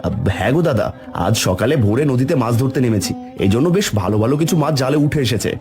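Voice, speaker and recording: Man acting cheerful village fisherman, brisk, highly animated, cartoon folktale, faint music. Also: close to an everyday voice. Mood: friendly. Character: cheerful, hardworking village fisherman and market hawker.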